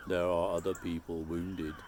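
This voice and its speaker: Man voicing a grave, low commanding lion. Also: flat delivery, background noise, natural voice. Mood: urgent, commanding. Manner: solemn, measured. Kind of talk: storybook character voice.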